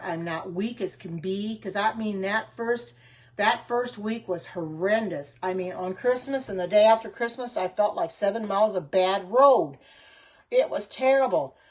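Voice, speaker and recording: Older woman, chatty live-stream baker, rambling and homespun, mid-pitched voice. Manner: chatty, greets and answers viewers by name, meandering; frequent ums and restarts, homespun sayings. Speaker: home cooking livestreamer. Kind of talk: casual live-stream chat while baking.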